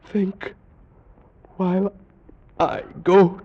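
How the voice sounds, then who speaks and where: An actor playing a bitter, jealous ancient Egyptian killer, speaking in a theatrical, taunting old-time radio style. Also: male voice, high voice, level tone, very slow, breathy edge, full character voice. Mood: dying, taunting. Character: bitter, jealous Egyptian man, vengeful murderer and alchemist. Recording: dull old radio recording.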